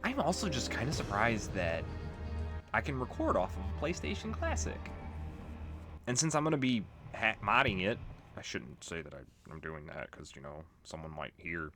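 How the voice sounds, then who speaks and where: A man's voice: on the low side, big pitch swings, moderate pace. A man doing casual, off-the-cuff commentary as he plays a video game. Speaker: let's play gamer. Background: game music.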